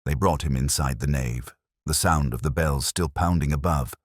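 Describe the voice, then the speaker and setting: A man's voice: rumbling low, moderate pace, slightly rough, no echo, clean studio sound. A man reading a scripted mystery story as its narrator.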